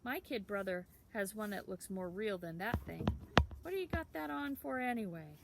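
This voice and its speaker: A woman's voice: medium pitch, expressive, moderate pace, vocal fry, heavy background noise. A woman voicing a cocky, teasing teenage town boy who talks in a brash, slangy drawl.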